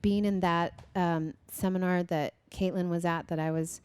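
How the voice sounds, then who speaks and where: A woman speaks as a podcast guest, reflective and earnest, pausing on soft ums. Her voice sits a little low. The recording is close and dry, with no room sound. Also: fairly even intonation, clear, resonant tone, dry recording. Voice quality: loud voice. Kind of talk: casual interview conversation.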